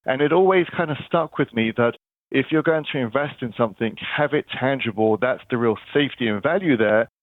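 A man's voice: medium pitch, no room sound. A man with a London accent, a guest telling his story in an earnest, chatty way over the phone. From England. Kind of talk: phone interview. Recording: tinny phone line, dry.

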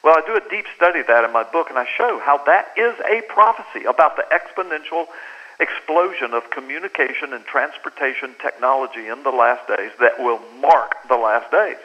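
A man's voice: medium pitch, a little fast. An American man, a book's author, telling a story in a radio interview and acting out the voices of the people in it. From United States. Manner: animated storyteller who acts out quoted dialogue. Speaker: author guest. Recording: lo-fi phone line.

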